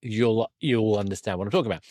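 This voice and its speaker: A man's voice: fairly low pitch, quick pace, darkish tone, dry recording, clean studio sound. A man chatting casually as a podcast host, thinking aloud and often correcting himself mid-sentence. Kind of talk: casual conversational interview.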